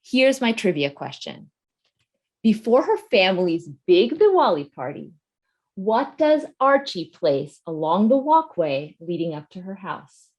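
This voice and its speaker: Lively, animated American woman. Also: medium pitch, measured pace. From United States. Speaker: picture book author. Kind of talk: presenting trivia to camera for children. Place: indoor room with bookshelves.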